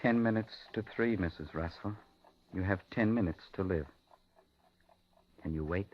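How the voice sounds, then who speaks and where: An actor playing an unhinged, menacing captor with a childishly boastful, politely threatening manner in a 1950s radio thriller. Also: male voice, low-pitched, moderate pace, soft background music, close to an everyday voice. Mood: quiet menace. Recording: dull, faintly noisy 1950s radio recording.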